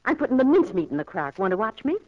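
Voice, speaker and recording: An actress playing a brisk, fussy middle-aged American farm wife and mother in a 1940s radio play. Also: on the high side, big pitch swings. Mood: motherly, fussing. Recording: muffled 1940s radio broadcast.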